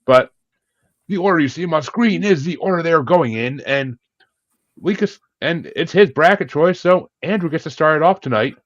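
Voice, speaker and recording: A man's voice: fairly high pitch, lively intonation, normal speed, no echo. A man hosting a casual music podcast, chatting informally and swearing freely. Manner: casually profane. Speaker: host of a music ranking show. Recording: dull, lo-fi microphone sound.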